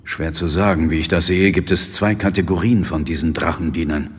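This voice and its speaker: Ein Schauspieler spielt in einem Hörspiel einen nüchternen, wachsamen britischen Polizeiinspektor mit sachlicher, skeptischer Art. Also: male voice, sits low, fairly even intonation, a little fast, breathy edge, long reverb tail. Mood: wary, sober. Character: sober, wary male British police inspector. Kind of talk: naturalistic audio drama acting. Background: music.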